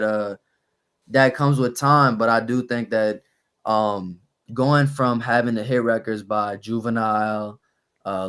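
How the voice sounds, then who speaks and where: A young man with a naturally raspy, slightly low voice speaks as a podcast guest. He talks in a laid-back, unhurried way, pausing often to gather his thoughts. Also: fairly bright tone. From New Orleans, USA. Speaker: guest rapper. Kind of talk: casual podcast interview.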